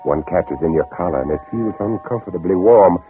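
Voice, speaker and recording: An actor playing an anguished Southern gentleman planter in theatrical, dramatic old-time radio style, sometimes narrating his own ordeal. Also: deep voice, brisk, lightly acted. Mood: tense, haunted. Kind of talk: 1940s-50s radio drama acting. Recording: old radio, dull narrow band, music behind.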